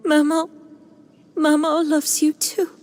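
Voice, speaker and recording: A woman playing a gentle, sorrowful and loving mother in a fantasy video game, speaking softly and tenderly. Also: high-pitched, level tone. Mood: tender, apologetic. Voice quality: soft, tender. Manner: slow, halting, tender.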